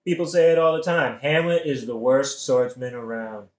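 A man's voice: medium pitch, moderate pace, roomy sound, close to an everyday voice. A man playing a scheming, casually murderous king in a modern satirical audio play, talking in an evasive, colloquial, wheedling way. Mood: glib, persuasive.

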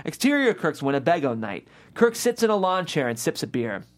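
A woman's voice: low voice, big pitch swings, fairly quick, faint music behind. A woman hosting and reading aloud, as at a script table read. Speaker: host and script reader. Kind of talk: reading screenplay action aloud, then interviewing the writer.

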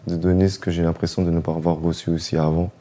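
A man's voice: sits low, level tone, quick pace, mellow. A man in his thirties, a portrait photographer speaking earnestly and steadily. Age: 30s. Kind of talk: documentary interview testimony.

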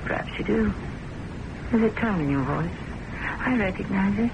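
An actress playing an embittered, proud unmarried secretary in an old-time radio drama, with an intense, indignant delivery. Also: female voice, medium pitch, animated, normal speed, highs cut off, natural voice. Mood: probing, yearning. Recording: noisy old radio broadcast recording.

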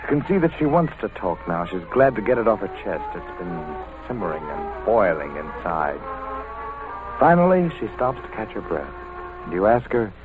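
Deep, dramatic old-radio crime narrator. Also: male voice, big pitch swings, normal speed, highs cut off. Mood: intense, dramatic. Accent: American. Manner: dramatic, urgent storytelling. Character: intense, hard-boiled crime story narrator. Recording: old radio broadcast with music.

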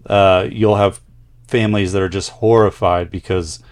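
A man co-hosting a podcast as a nurse, chatting casually and reading listener letters aloud with pauses. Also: low voice, steady tone, clear, resonant tone, no echo.

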